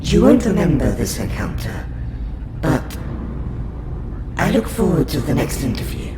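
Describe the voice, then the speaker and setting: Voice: mid-range voice, huge pitch swings, a little slow, background noise. A performer with a woman's voice playing a courteous, curious alien scientist in an audio roleplay, questioning a human in formal, clinical wording. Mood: courteous, businesslike. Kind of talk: audio roleplay monologue to the listener. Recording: roomy echo under loud music.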